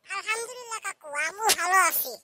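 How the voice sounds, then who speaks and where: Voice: very high voice, quick pace, pushed and bright, exaggerated voice. A performer voicing a cheeky young village lad in a comic cartoon, with a childlike voice, teasing and chatty. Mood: friendly, grumbling. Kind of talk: comic Bangla cartoon dialogue. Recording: noisy recording with clicks.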